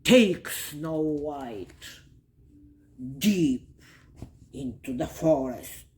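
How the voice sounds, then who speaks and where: A woman's voice: low-pitched, lively intonation, very slow, darkish tone, slight background noise, pitched below the performer's own voice, heavily acted. A woman voicing a vain, cruel storybook queen in a commanding, menacing, emphatic manner.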